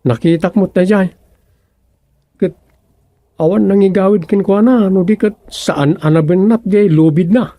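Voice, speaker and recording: A man's voice: high-pitched, a little slow, pauses often, mellow, no room sound. An actor playing an earnest younger man in a comedic radio drama, explaining things insistently to his old father.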